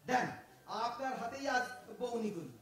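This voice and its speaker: A man's voice: extremely high pitch, sing-song, normal speed, a bit bright, exaggerated voice. A man playing a wheedling, stammering fruit thief. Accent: East Bengali. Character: cheeky smooth-talking male fruit thief. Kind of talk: audio drama acting. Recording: echoey room from far off.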